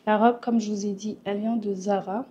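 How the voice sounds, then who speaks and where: A woman's voice: mid-range voice, fairly even intonation, a little fast, mellow, slightly rough, dull audio. A woman from Senegal chatting in a home vlog, a little congested from a cold, dropping English phrases into her talk. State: recovering from a cold. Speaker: vlogger. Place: at home in Montreal.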